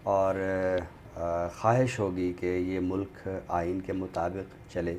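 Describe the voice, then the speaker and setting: A man with a Pakistani accent, speaking as an expert guest on a literary talk show in a measured, explanatory way. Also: deep voice, flat delivery, a little mellow, slight background noise. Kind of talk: conversational commentary on a talk show.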